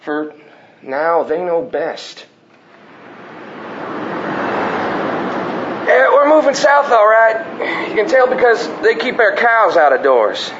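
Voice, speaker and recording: An actor playing a slow, gentle ballplayer who is dying, in plain, halting country talk. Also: male voice, sits high, very animated, muffled recording, background noise. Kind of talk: radio drama acting.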